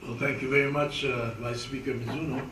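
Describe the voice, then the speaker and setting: A man speaking as a consul general, giving formal thanks with many hesitant filler pauses. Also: medium pitch, fairly even intonation, background noise. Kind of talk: formal thank-you remarks. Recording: echoey room, distant mic.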